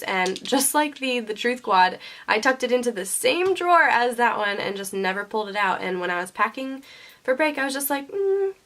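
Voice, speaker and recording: A woman's voice: mid-range voice, fairly bright tone. A young woman vlogging her beauty favorites to her camera, chatty and full of ums, a little sniffly from a sinus infection. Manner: chatty, many ums and likes. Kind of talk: casual product favorites chat. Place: indoors, seated before a camera. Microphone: camera mic.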